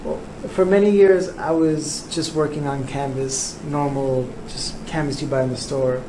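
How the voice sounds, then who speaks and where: Soft-spoken New artist in a roomy studio. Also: male voice, fairly high pitch, fairly even intonation. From Cuba, raised in New York. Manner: soft-spoken, unhurried, with frequent ums. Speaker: visual artist, interviewee. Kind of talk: relaxed interview answers. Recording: roomy studio, faint background noise.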